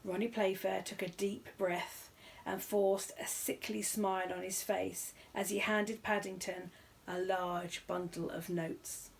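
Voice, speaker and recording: A woman reading a children's story aloud in an expressive narrating style. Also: mid-range voice, a little slow, vocal fry, poor audio. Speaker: story reader.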